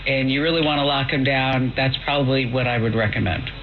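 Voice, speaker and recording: A man's voice: mid-range voice, steady tone, fairly quick, music in the background. A man hosting a call-in advice show in a casual, informal, exclamatory way, often checking in with the listener. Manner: informal, exclamatory, peppered with "you know". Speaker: call-in tech advice host. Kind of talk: casual, chatty radio advice. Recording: noisy, dull shortwave radio.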